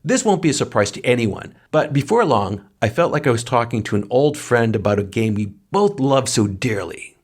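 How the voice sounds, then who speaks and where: A man's voice: medium pitch, lively intonation, normal speed, few pauses, breathy edge. A man reading memorial tributes aloud as a podcast co-host, in an earnest, solemn manner.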